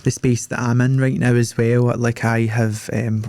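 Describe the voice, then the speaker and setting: A young Scottish man hosting a podcast in a loose, rambling chat, leaning on fillers as he goes. His voice is dark and gravelly and stays fairly flat in pitch. The sound is dry and close, with no room in it. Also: normal speed, no room sound. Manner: chatty, rambling, full of "like" fillers. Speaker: podcast host. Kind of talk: casual interview chat.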